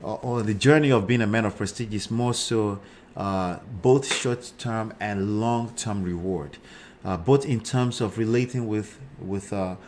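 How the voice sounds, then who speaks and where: A man's voice: lowish voice, a little mellow, slight background noise. A man in his forties with a Nigerian accent hosts a live show, giving casual commentary and often checking in with short tags like "right" and "okay". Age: 40s.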